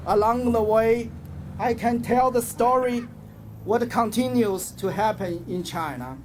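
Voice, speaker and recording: A man delivers a prepared rally speech in measured, halting phrases with pauses. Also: high-pitched, darkish tone. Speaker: activist speaker. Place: outdoors at a city rally. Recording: outdoor rally with crowd and street noise.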